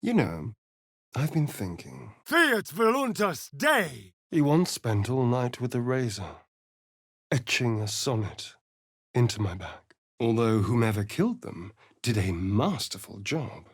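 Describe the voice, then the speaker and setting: An actor voicing a sly, vain vampire rogue for a video game, with an English accent and an arch, theatrical, drawling delivery. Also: male voice, medium pitch, big pitch swings, unhurried, breathy edge, heavily acted. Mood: measured, wary, then incanting, then dramatic.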